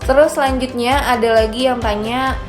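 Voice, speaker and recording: A woman's voice: a little high, steady tone, moderate pace. A young woman in her twenties, a brand founder chatting casually and upbeat as she answers viewer questions. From Indonesia. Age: 20s. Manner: chatty, upbeat, mixes in English phrases. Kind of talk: casual chat. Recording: echoey room with soft music.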